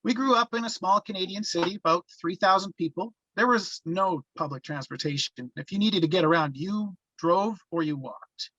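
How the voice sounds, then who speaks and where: A man's voice: high voice, moderate pace, hardly any pauses, pushed and bright, no echo, highs cut off. An actor reading a grown man's first-person reminiscence of a rebellious small-town youth in a script. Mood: reflective.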